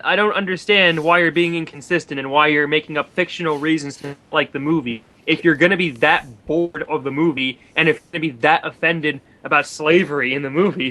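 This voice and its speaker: A man's voice: a little high, pushed and bright. A man co-hosting a film commentary, sarcastic and exasperated as he reads and reacts casually. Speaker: co-host of a film commentary show.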